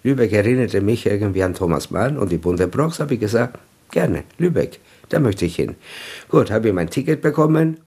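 A man's voice: on the low side, expressive, fairly quick, darkish tone, breathy edge. A man in his seventies from Sicily, a native Italian speaker with an Italian accent, recalling his past in an interview at home with hesitant, searching phrasing. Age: 70s. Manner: hesitant, with fillers and searching for words. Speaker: interviewee, former guest worker. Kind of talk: reminiscing in an interview.

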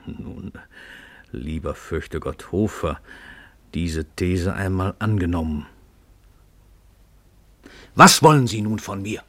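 An actor playing an imposing, self-assured world-famous crime writer in a radio play, husky, ironic and condescending. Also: male voice, low-pitched, animated, slow, long pauses, bright, forceful tone, no room sound, heavily acted. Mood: composed, curious.

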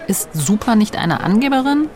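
An actress playing an earnest, unsure young elder sister in a German radio play. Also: female voice, medium pitch, very animated, normal speed, a little mellow, natural voice. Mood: pensive.

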